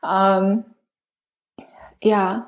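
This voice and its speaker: A young woman, a guest in an interview, who stutters with repeated sounds and speaks in a soft, thoughtful, hedging way. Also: mid-range voice, flat delivery, very slow, poor audio. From China. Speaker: guest, speech pathology researcher. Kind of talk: conversational interview.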